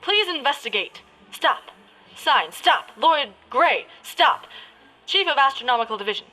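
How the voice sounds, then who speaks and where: Woman playing a lively, high-voiced radio interviewer. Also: a little slow, soft background music, heavily acted. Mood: official, brisk. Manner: mock-formal, breaking into crude asides. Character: prim, exasperated, foul-mouthed radio news interviewer. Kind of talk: parody of 1930s radio announcing, partly improvised.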